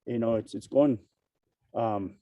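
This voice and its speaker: Hoarse man with a raspy voice. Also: medium pitch, far from the mic, lo-fi sound, heavy background noise. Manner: halting, many fillers and restarts. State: hoarse from a cold. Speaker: opening speaker. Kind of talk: spoken prayer and invocation.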